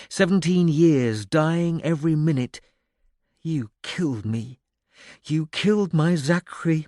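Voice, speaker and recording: A man's voice: medium pitch, darkish tone, dry recording, studio quality, pitched above the performer's own voice. A man voicing the grieving, vengeful ghost of a murdered woman, speaking in a mournful, accusing way.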